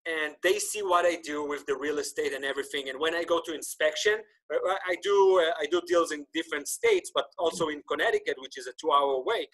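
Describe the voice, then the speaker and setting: A man in his thirties whose first language is Hebrew, hosting a podcast over a video call, talking with animated gestures and frequent restarts. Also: a little high, fast talker, few pauses, low-quality recording. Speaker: podcast host. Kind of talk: casual interview chat. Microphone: video call webcam.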